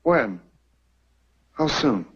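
An actor playing a slick, glib young counterfeiter with evasive, smooth talk. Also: male voice, medium pitch, big pitch swings, drawn-out words, full character voice. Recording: dull old TV soundtrack with faint hiss.

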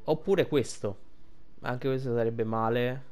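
A man's voice: medium pitch, a little slow, darkish tone. An Italian man giving casual gameplay commentary, rambling and thinking aloud as he plays. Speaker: gaming streamer.

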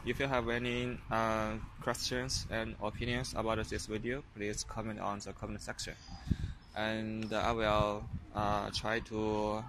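A man's voice: fairly low pitch, flat delivery, a little slow. Hesitant man with a Chinese accent. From China. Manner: hesitant, many fillers and restarts. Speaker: vlogger. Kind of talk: casual vlog monologue. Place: outdoors on a university campus. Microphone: handheld phone camera while walking. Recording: outdoor noise behind the voice.

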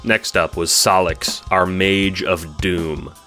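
A man narrating a scripted dramatic story in a solemn, portentous, measured manner. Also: sits low, fairly even intonation, pushed and bright. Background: instrumental music with guitar.

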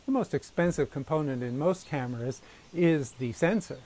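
A man's voice: a little high, normal speed, darkish tone. A middle-aged man whose first language is Dutch, hosting a casual chat and asking earnest questions with frequent restarts. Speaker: host. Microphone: lapel.

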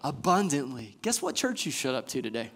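A man in his thirties from Georgia, an assistant pastor preaching a lively sermon on a church stage and asking the congregation questions. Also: mid-range voice, a little fast, gravelly. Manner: enthusiastic, gestures widely, asks the congregation questions. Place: church stage before a screen.

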